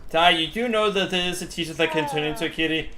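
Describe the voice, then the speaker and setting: A man doing casual let's-play commentary as a gaming streamer, rambling and thinking out loud. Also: fairly high pitch, fast talker, noisy background. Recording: game music behind the voice. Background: video game music.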